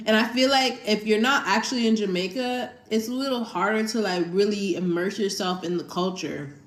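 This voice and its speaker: A woman chatting casually as a vlogger, animated and full of "like". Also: mid-range voice, fairly quick. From United States. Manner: animated, heavy use of "like". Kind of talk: casual chat.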